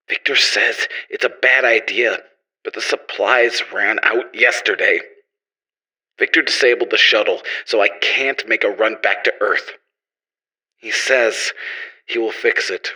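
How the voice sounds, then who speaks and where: An actor playing a despairing scientist father on a space station in a horror drama, speaking in a halting, weary, earnest way. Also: on the low side, bright, forceful tone, slightly rough, heavily acted, poor audio. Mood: desperate, resolute. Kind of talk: audio horror drama, personal log monologue.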